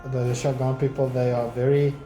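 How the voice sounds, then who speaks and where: A man's voice: mid-range voice, flat delivery, fairly quick, mellow. Hesitant young man from South Africa. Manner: hesitant, restarts with ums. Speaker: customer. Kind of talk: casual chat. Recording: echoey room, faint music.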